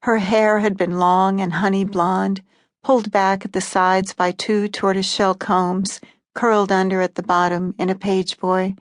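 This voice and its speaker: A woman narrating literary short stories in a calm, even voice of middling pitch. The recording is close and dry, with no room around it. The delivery stays steady and unhurried. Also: no room sound. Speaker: audiobook narrator.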